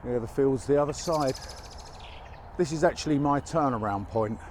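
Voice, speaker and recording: A man's voice: medium pitch, normal speed, a little mellow. A chatty man narrates his walk outdoors in a mid-range voice, wry and a little out of breath. He sounds slightly chesty from a lingering cold, picked up on a mic clipped to his chest. Faint motorway noise hums behind him. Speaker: walking vlogger. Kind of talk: casual walk-and-talk narration. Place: outdoors by a busy motorway in winter fields. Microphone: chest-worn mic.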